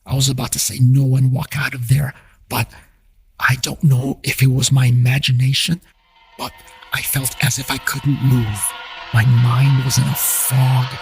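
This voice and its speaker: A man's voice: medium pitch, poor audio. A man telling a scripted first-person story as the narrator of an audio drama. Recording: music bed behind voice.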